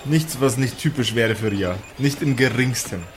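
A man's voice: medium pitch, moderate pace, over music. A man improvising narration and rulings as the game master of a tabletop game. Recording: clear background noise.

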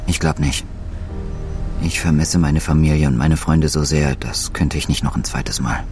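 A man's voice: extremely low pitch, fairly even intonation, normal speed, a little mellow, faint music behind. An actor playing a wistful, homesick male astronaut in a sci-fi audio drama, in a pensive, understated way.

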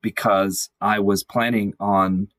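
A man's voice: fairly low pitch, flat delivery, slow, a bit bright, dry recording. A man speaking as a podcast guest, telling personal stories in a casual, conversational way.

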